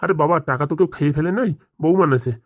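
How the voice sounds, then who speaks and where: A woman's voice: low voice, very fast, dry recording, dull audio, close to an everyday voice. A woman voicing an exasperated, placating husband and father in a comedy cartoon. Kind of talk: Bengali comedy cartoon dialogue.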